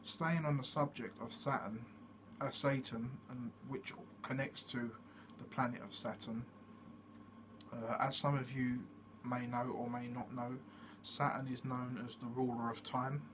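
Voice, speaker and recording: A man hosting his own channel, giving a casual explanatory monologue in a steady way, with frequent fillers. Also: mid-range voice, unhurried. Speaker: channel host. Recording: noticeable background noise.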